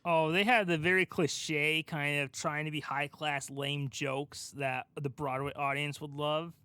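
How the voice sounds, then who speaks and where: High-voiced man, casual podcast host. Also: steady tone, a little slow, pushed and bright, clean tone, no room sound. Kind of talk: casual chat.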